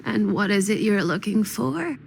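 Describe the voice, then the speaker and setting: A woman's voice: mid-range voice, lively intonation, normal speed, creaky, slight background noise. An actress playing a courteous, sly older woman who keeps a cluttered curio shop, in a genteel, coaxing way for a video game. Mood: inviting, genteel. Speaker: shopkeeper.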